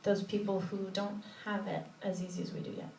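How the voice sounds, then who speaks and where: A woman making informal, halting stage banter as a cabaret singer introducing a song to a live audience, thinking aloud as she goes. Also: medium pitch, little pitch movement. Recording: echoey room, distant audience recording, faint noise.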